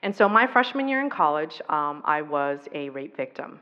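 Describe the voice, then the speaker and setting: A woman's voice: low voice, very animated, sharp tone. A woman from the US East Coast whose first language was Taiwanese, giving an earnest, reflective personal talk on violence prevention. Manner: reflective, earnest, with pauses and fillers. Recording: dull recording in an echoey hall.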